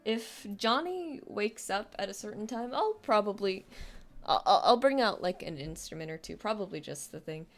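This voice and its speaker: A woman's voice: mid-range voice, expressive, moderate pace, faint music behind. A young woman with a youthful, girlish voice, chatting casually on a live stream, rambling and self-deprecating with lots of restarts and fillers.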